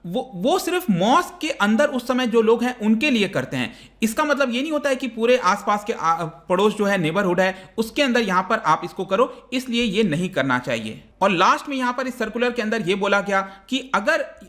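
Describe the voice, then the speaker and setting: High-pitched, bright-voiced Indian man teaching. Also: lively intonation, normal speed. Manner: conversational, explains step by step, mixes in English terms. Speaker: online exam-prep teacher. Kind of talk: explanatory current-affairs lecture.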